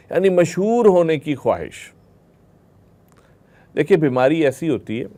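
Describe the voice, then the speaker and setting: A man's voice: medium pitch, dark tone. Man, lecturing. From Pakistan. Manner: explanatory, with rhetorical questions and everyday examples. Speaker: religious lecturer. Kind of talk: religious lecture to a group.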